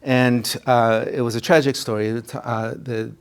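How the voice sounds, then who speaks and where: A man's voice: medium pitch, steady tone, measured pace, clean tone. A middle-aged man from Ecuador whose first language is Spanish, a college counselor telling his own story in a presentation, earnest, with many fillers and restarts.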